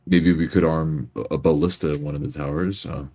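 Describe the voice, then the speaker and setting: A man's voice: rumbling low, fairly even intonation, fairly quick, warm tone. A man playing an anxious, courteous adventurer in a tabletop roleplaying game, speaking hesitantly with stammers. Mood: worried.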